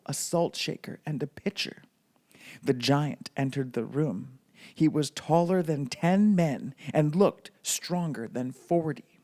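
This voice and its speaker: Male storybook reader, bedtime narration, unhurried pace. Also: fairly high pitch, warm tone.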